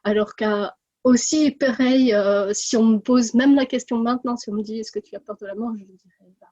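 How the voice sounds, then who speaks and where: A woman in her forties from India gives a personal testimony on a podcast. Her voice is fairly high and mellow, and the recording is close and dry. She hesitates often, fills gaps with little sounds and restarts her sentences. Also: steady tone, normal speed, dry recording, muffled recording. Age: 40s. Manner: hesitant, many fillers and restarts. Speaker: podcast guest.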